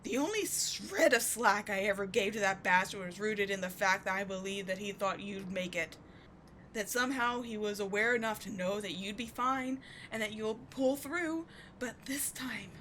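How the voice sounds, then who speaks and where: A woman playing an obsessive, devoted villain in a dramatic ASMR roleplay, speaking with theatrical intensity that moves between forceful and hushed. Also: medium pitch, a little hiss or hum, close to an everyday voice, poor audio. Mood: cold fury. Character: obsessive, devoted female villain wooing a wounded sidekick.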